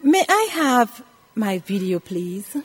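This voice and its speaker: A woman's voice: medium pitch, very animated, slow pace, breathy edge. A woman in her eighties preaching a scripture lesson, emphatically repeating phrases and putting questions to her listeners. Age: 80s. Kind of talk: sermon teaching from scripture.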